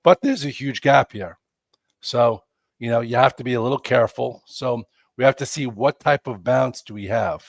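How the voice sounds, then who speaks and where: A man's voice: medium pitch, normal speed, gravelly, dry recording, clean studio sound. A man, congested and losing his voice, hosting a chatty, rambling live stock market show with lots of fillers. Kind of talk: casual live market commentary.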